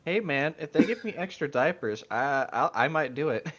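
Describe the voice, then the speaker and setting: A man's voice: fairly high pitch, expressive, normal speed, fairly bright tone. A man co-hosting a casual chat, teasing and joking wryly with some swearing. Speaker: co-host reading and mocking fan fiction. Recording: slightly dull, lo-fi mic.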